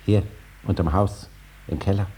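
An actor playing a cunning, eager farmer with a plain-spoken, evasive manner in a 1950s German radio play. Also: male voice, sits low, fairly even intonation, moderate pace, warm tone, slightly rough. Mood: uneasy, secretive. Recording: faintly hissy 1950s radio recording.

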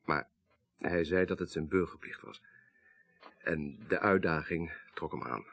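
An actor with a Netherlands Dutch accent playing an articulate, grieving professor in a radio crime drama, speaking precisely with dry irony. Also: male voice, deep voice, measured pace, creaky, fairly bright tone, full character voice. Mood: measured, bitter. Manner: precise, lecturing, dryly ironic. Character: grieving, articulate male professor, child of a murdered minister.

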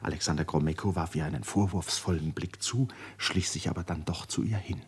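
An actor playing the solemn narrator of a literary radio play, husky-voiced, with measured, dramatic delivery. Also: male voice, low voice, a little mellow, full character voice. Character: solemn male narrator of a Russian family saga.

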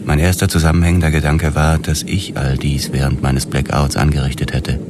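A man's voice: extremely low pitch, flat delivery, fairly quick, very noisy. An actor playing a hard-boiled police detective who narrates his own story in a noir radio drama. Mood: grim, dazed. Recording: music under the voice. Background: music.

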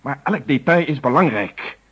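An actor playing a stern, probing police inspector in a radio play, questioning in a formal, insistent and authoritative way. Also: male voice, mid-range voice, expressive, moderate pace, a bit bright, husky. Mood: stern, pressing. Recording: dull, fuzzy old radio recording.

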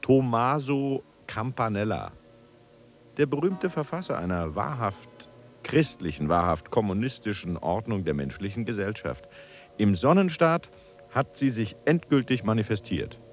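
An actor playing an eager, questioning human moon traveller in a German radio drama, theatrical and given to hesitant musing. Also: male voice, on the low side, big pitch swings, measured pace, soft background music. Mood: excited, delighted.

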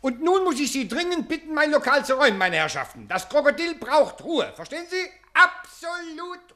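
A man's voice: very high-pitched, sing-song, normal speed, sharp tone, heavily acted. An actor in a radio play voicing a fussy, officious German showman who blusters and insists. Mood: flustered, insistent. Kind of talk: theatrical radio play acting.

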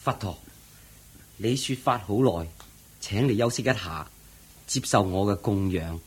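An actor playing a humble, devoted goldsmith in a radio drama, speaking respectfully and eagerly. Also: male voice, fairly low pitch, expressive, a little slow, husky. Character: humble, devoted male goldsmith offering food to his master. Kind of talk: Cantonese radio drama acting.

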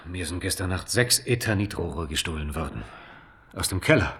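An actor playing a slippery, self-righteous swindling official in a German radio play, officious and evasive. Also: male voice, sits low, animated, moderate pace, fairly bright tone. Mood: feigned regret.

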